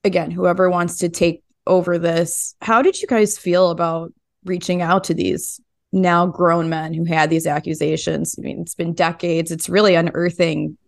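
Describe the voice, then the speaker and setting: Woman podcast host, lower-pitched, dry sound. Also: flat delivery, normal speed, vocal fry, no room sound. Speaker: podcast host interviewing reporters. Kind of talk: scripted intro and conversational interview.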